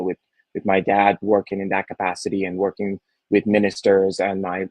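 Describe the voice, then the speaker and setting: A young man from Nigeria speaks as a podcast guest in a low, dry voice. He talks earnestly, circling back with fillers and restarts as he gathers his thoughts. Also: no echo, rough recording. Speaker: startup founder, guest. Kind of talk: casual interview talk.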